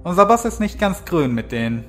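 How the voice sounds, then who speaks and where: A man's voice: high-pitched, very animated, brisk, soft background music. A man playing a smug, threatening henchman in an audio drama. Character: threatening male henchman, smug, trigger-happy.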